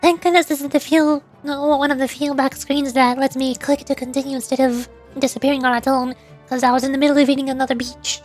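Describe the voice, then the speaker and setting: A woman's voice: high-pitched, little pitch movement, quick pace, a little mellow, faint music behind. A woman giving casual, playful, rambling commentary as she streams a game and does amateur voice acting.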